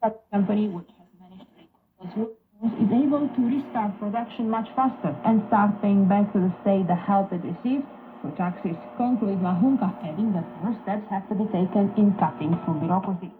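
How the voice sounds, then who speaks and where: A woman's voice: medium pitch, brisk. A woman reading a scripted news report, heard through an old radio speaker. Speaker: news reader. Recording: dull, noisy shortwave radio playback.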